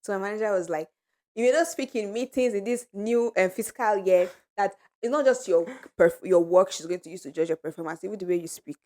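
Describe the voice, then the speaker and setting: A woman rattles along at a quick clip in a relaxed podcast conversation. She tells her stories with animation and laughs freely as she goes, her voice sitting at an ordinary middle pitch. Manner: animated storytelling, laughs often. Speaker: podcast co-host. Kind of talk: casual chat among friends.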